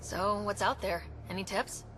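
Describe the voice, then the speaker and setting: A woman's voice: medium pitch, a little slow, noisy background, close to an everyday voice. A woman playing a friendly, wry young human explorer in a video game, talking casually. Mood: inquisitive. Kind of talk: dramatic game dialogue. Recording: echoey hall, faint music behind.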